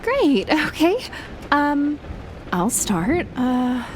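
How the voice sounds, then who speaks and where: A woman playing a gentle, shyly affectionate best friend in an intimate audio roleplay, speaking softly and hesitantly with a breathy, near-whispered voice. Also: high voice, very animated, slight background noise, full character voice. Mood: shy, hopeful. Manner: soft, hesitant, slow with long pauses. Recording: loud rain and thunder throughout. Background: rain and thunder effects.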